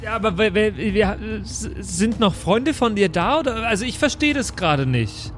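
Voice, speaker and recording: A man voicing a naive, soft-hearted young woman in an improvised audio drama, questioning and rambling in a hesitant way. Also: high-pitched, lively intonation, moderate pace, soft background music. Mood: worried, puzzled.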